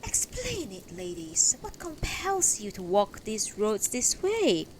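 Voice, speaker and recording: Woman playing proud old knight, swooping pitch. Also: huge pitch swings, slow pace, darkish tone, a little hiss or hum, low-quality recording. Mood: restrained, formal. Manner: courtly, formal, declamatory. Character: proud, indignant elderly male knight of King Arthur.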